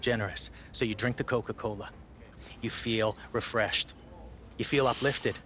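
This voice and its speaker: A man's voice: medium pitch, fairly even intonation, normal speed, pushed and bright, slight background noise, lightly acted. A man playing an intense film director in a video game cutscene, coaxing with short, emphatic, repeated prompts.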